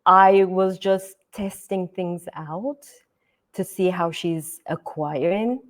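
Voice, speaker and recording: Middle-aged woman from Turkey, native Turkish speaker; unhurried, thoughtful interview guest, filler-heavy. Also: lowish voice. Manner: thoughtful, many fillers, repeats "et cetera". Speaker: guest educator. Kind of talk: podcast interview.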